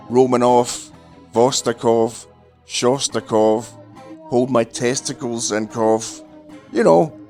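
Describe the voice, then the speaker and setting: Dry sarcastic guy w a cold, nasal and froggy, music faint behind. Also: medium pitch. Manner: deadpan, dry sarcastic delivery. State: has a cold, nasal and throaty. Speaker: comedy film reviewer. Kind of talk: scripted satirical monologue.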